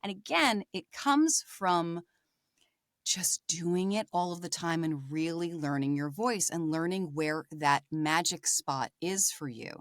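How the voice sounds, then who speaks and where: Low-voiced woman giving rambling mentor feedback. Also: slow pace, dry recording, studio quality. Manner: rambling with many fillers and restarts. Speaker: voice acting mentor. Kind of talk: conversational feedback chat.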